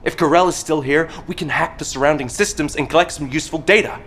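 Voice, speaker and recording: An earnest male tech whiz from a video game as an actor plays him, with a somewhat high voice. He is proud and intense, explaining precisely and obsessively. Light ambient noise runs underneath. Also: quick pace, a bit bright, natural voice. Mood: determined.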